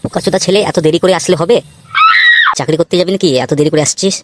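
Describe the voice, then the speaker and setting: A man's voice: a little high, fairly even intonation, fast talker, darkish tone, shouting, a little hiss or hum, close to an everyday voice. A man voicing a brash, scheming young village boy in a comedy cartoon, swaggering and scolding in coarse slang. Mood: scolding, bossy. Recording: music playing behind the voice.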